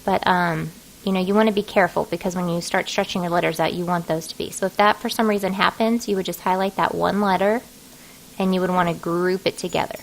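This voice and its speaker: A woman narrating an informal software tutorial, talking casually and thinking aloud as she works. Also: fairly low pitch, fairly even intonation, fast talker, creaky, slight background noise, low-quality recording. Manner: casual, folksy, thinking aloud while working. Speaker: craft design software tutorial host.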